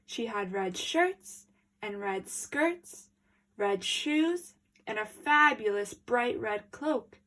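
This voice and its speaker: A woman storyteller reading aloud to children, expressive and deliberate, with dramatic emphasis. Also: fairly high pitch.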